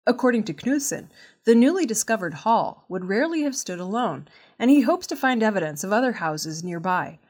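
A woman presents the news from a script. Her voice sits at an average pitch for a woman. She reads in a measured way, with each phrase clearly enunciated. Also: sing-song, a little mellow. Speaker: news reader.

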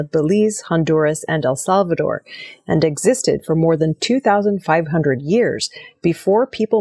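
Low, husky woman reading the news. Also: a little mellow, no echo, clean studio sound. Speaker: news presenter. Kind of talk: scripted news narration.

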